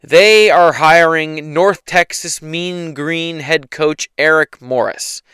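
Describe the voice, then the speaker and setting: A man hosting a casual solo sports talk show, hoarse from yelling, opinionated and rambling with frequent restarts. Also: a little high, takes it slowly, no room sound. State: hoarse, losing his voice. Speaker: podcast host.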